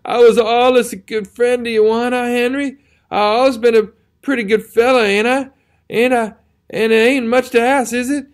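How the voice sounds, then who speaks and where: A man's voice: very high voice, steady tone, moderate pace, pitched above the performer's own voice. A man reading a storybook, voicing a frightened, wounded young male soldier who begs his friend in a rustic drawl. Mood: pleading. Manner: rustic drawl, begging and shaky.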